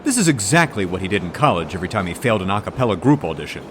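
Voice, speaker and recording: A man's voice: deep voice, big pitch swings, rapid-fire, a bit bright, a little hiss or hum. An actor playing a goofy, chatty man who is himself an actor in the story. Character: goofy, talkative male actor character. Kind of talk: comedy audio drama acting.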